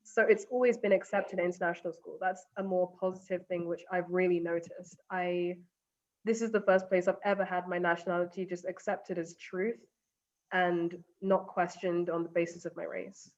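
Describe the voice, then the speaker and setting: A woman's voice: lowish voice, flat delivery, moderate pace, a little mellow. Earnest teen girl, English accent. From England. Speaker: student panelist. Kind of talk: casual panel discussion. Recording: muffled video call audio.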